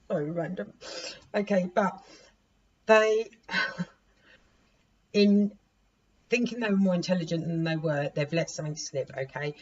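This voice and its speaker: A hoarse woman in her forties giving a casual tarot reading to camera, chatty and laughing at herself as she repeats and restarts. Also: on the low side. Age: 40s. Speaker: tarot reader. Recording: dull lo-fi recording with faint noise.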